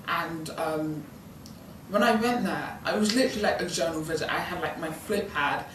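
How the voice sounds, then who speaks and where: British female student intern, 19, BSL first language, slightly low voice, halting informal delivery; distant, noisy pickup. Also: fairly bright tone. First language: British Sign Language. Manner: hesitant, rambling, many ums and likes. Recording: echoey room, distant mic, background noise.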